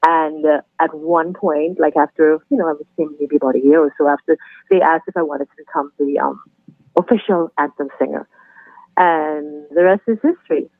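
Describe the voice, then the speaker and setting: A middle-aged woman with a Trinidadian accent, chatting warmly and laughing easily as a guest on a podcast interview. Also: low-pitched, no room sound. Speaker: guest, anthem singer. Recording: tinny phone line, dry.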